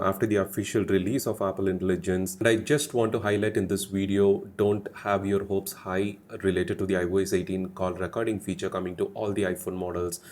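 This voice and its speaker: Male tech video presenter, low voice, measured explanatory delivery aimed straight at viewers. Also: darkish tone.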